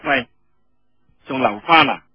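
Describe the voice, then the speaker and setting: A man's voice: medium pitch, slow pace, husky, no room sound, dull audio, soft background music. A man voicing a pert, jealous young woman soldier in a storytelling broadcast, teasing and needling in colloquial speech.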